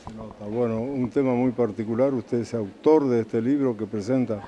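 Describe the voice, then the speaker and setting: A man asking interview questions in a halting, probing manner. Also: medium pitch, highs cut off, slight background noise. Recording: crowd murmur behind. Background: crowd.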